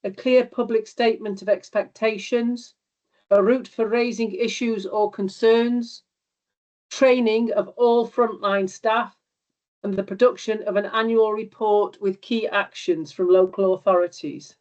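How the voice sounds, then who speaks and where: A woman with a cold and a slightly squeaky voice reports to a meeting over a video call. She speaks steadily, with a few ums and restarts. The call audio is dull and muffled. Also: fairly even intonation, a little slow, darkish tone. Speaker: regional covenant liaison officer. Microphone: remote video call.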